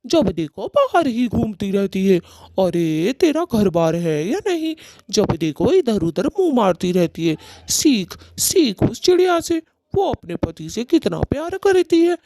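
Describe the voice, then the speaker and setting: Woman as a mellow-toned grumbling bird husband. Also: medium pitch, very animated, a little slow, dry recording. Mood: scolding, boastful. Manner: blustering, swinging delivery. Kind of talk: Hindi cartoon voice acting.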